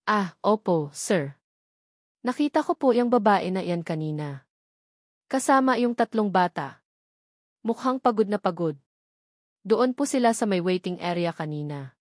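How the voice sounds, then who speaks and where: A woman's voice: medium pitch, expressive, unhurried, long pauses, no echo, studio quality. Woman playing a polite bus dispatcher. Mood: helpful. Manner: polite, informative. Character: helpful, respectful bus terminal dispatcher.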